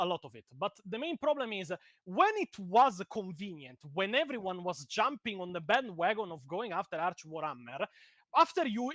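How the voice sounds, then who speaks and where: A man's voice: high-pitched, fairly bright tone, no echo, studio quality. A man from Italy with a strong Italian accent, talking bluntly and with profanity in a heated call. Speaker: guest commentator. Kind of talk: heated casual video-call chat. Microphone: video call.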